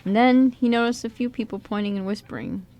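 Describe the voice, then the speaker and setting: A woman's voice: fairly high pitch, steady tone, a little fast, dark tone, rough recording. A woman reading scripted story narration for an amateur radio drama. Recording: some background noise and room ambience.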